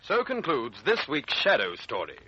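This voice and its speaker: Male old-time radio announcer: dramatic, lively swings, loud music behind, dull lo-fi recording. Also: medium pitch, normal speed.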